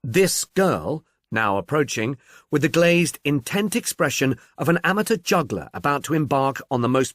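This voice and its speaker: A man's voice: a little high, no room sound, clean studio sound. A man reading literary fiction as a scripted audiobook narration.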